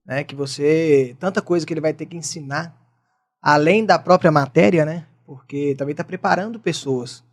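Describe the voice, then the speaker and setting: Low-voiced Brazilian woman, musing. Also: moderate pace. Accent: Brazilian Portuguese. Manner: musing, sympathetic interjections. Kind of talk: casual podcast interview.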